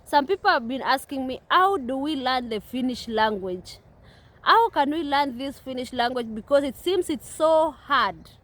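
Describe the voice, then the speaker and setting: Kenyan woman, young, high voice; earnest vlogger, restarts a lot, recorded outside. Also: animated, normal speed, a bit bright. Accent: Kenyan English. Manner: repetitive, earnest, many restarts. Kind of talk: casual talk to camera. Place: outdoors at a playground.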